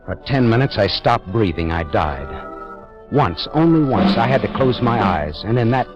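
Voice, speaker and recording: Hardboiled noir detective, low voice, wry and terse, muffled old radio sound with music. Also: male voice, sing-song, moderate pace. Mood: tense narrating. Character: hardboiled male private eye, wry, rattled, narrating his case. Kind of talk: 1940s radio noir acting.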